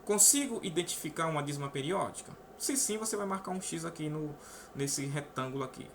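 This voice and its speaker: A man's voice: on the high side, lively intonation, a little fast, fairly bright tone, slight background noise. A Brazilian man teaching a math lesson to students, walking through each step and asking "né?" often. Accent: Brazilian Portuguese. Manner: explains step by step, often adds "né?". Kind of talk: casual online math lesson.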